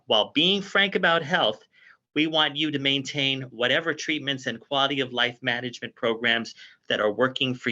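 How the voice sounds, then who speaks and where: A man's voice: mid-range voice, pushed and bright, dull audio. A man hosting a health talk radio show over a video call, introducing his guest and reading a formal disclaimer.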